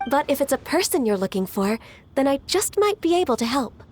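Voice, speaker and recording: Gentle, high-voiced young assistant. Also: female voice, very animated, fairly quick, a little hiss or hum. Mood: helpful. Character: gentle, diligent young half-beast woman secretary. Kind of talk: anime video game voice acting.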